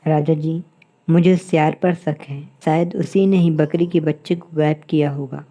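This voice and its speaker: A woman's voice: low-pitched, steady tone, normal speed, dark tone. A woman voicing a kind, helpful young bird who speaks with earnest concern in a cartoon moral story.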